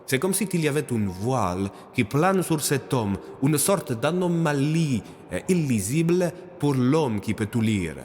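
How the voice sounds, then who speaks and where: A man's voice: medium pitch, a little mellow, in a large hall, slight background noise, close to an everyday voice. A man voicing a chatty, familiar man who explains mysteries with some exasperation in an audio story.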